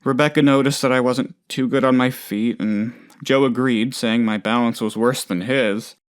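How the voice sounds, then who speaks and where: A man's voice: mid-range voice, steady tone, moderate pace, clean tone, lightly acted. A man voicing a nervous, downtrodden factory boss in a video game, hesitant and rambling but earnest. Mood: rueful, confiding. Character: male anxious, bumbling, downtrodden plant boss and ex-worker. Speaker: factory manager character. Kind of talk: video game character voice lines.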